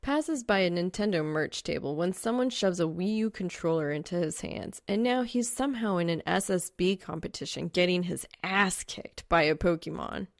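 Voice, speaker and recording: A woman reading fan fiction as an audiobook narrator, expressive and wry. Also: lowish voice, moderate pace, vocal fry, hardly any pauses, no room sound, studio quality.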